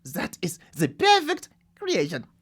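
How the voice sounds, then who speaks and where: A woman's voice: fairly low pitch, wildly swooping pitch, a little slow, husky, exaggerated voice. A woman playing an imperious, grandiose lead scientist in a live radio drama, lofty and dismissive as if always giving a speech. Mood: cold disdain. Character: imperious, grandiose scientist leading a research lab.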